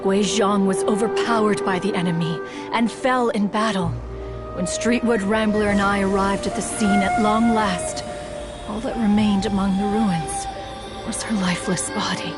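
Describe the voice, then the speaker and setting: A woman's voice: medium pitch, steady tone, normal speed, loud music behind the voice, close to an everyday voice. A woman playing a proud, mournful, ancient immortal in a video game, telling stories in formal, archaic, stately speech. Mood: wistful, solemn. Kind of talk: scripted game storytelling.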